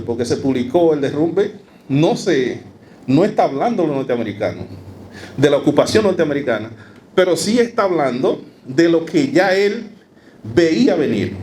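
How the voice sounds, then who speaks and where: A man giving a university lecture, speaking in a measured way with frequent fillers and rhetorical asides. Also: fairly high pitch, darkish tone, slight background noise. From Dominican Republic. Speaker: academic speaker.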